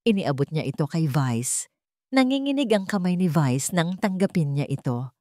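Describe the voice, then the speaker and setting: A woman's voice: sits low, animated, moderate pace, dark tone, no echo. A woman narrating a scripted romance drama in a theatrical, suspenseful way. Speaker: story narrator.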